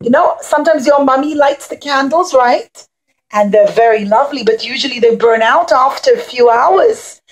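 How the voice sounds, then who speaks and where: Female children's storyteller with a high voice, simple phrasing, direct address to young listeners. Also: animated, normal speed, low-quality recording. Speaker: children's puppet show storyteller.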